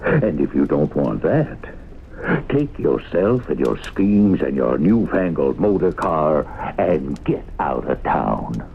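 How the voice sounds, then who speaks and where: A man's voice: rumbling low, big pitch swings, slow pace, vocal fry, exaggerated voice. An actor playing a stern, scornful man in a 1970s radio drama, speaking dismissively. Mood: stern contempt. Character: stern man scornfully ordering a schemer out of town. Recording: tinny band-limited old radio, faint noise.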